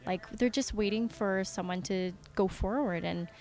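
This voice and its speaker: A woman's voice: mid-range voice, normal speed, darkish tone. A woman talking casually and enthusiastically as an interviewed independent filmmaker, with an earnest manner.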